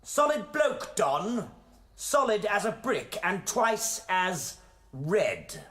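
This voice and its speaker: An actor playing a deranged, bitter lecturer in a radio play, declaiming grandly like a Shakespearean and breaking into outbursts. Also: male voice, sits high, sing-song, very slow, fairly bright tone, exaggerated voice. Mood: wry, bitter. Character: deranged theatrical male college lecturer turned gunman, manic and bitter.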